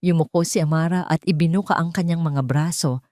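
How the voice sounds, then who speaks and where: A woman narrating a scripted story in a measured, dramatic storytelling manner. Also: sits low, fairly even intonation, darkish tone, no echo, clean studio sound.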